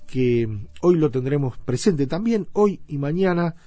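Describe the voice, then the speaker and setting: Locutor uruguayo de voz media y suave. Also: male voice, fairly high pitch, expressive, unhurried, dark tone. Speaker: radio show host. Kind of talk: radio show introduction, conversational. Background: background music.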